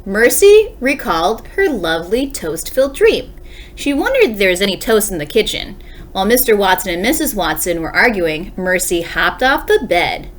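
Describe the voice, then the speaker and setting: A woman teacher reading a children's story aloud with dramatic pauses, speaking directly to young listeners. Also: mid-range voice, very animated.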